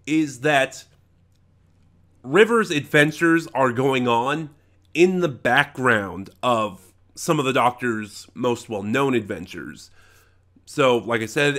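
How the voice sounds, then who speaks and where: A man's voice: mid-range voice, a bit bright. A man speaking as a channel host, giving an informal audio drama review in an opinionated, emphatic way.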